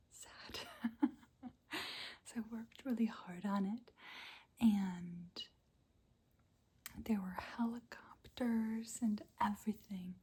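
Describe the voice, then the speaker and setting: Woman ASMR host for a casual update chat, whispered throughout and very breathy. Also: mid-range voice. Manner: soft unvoiced whisper with small quiet laughs.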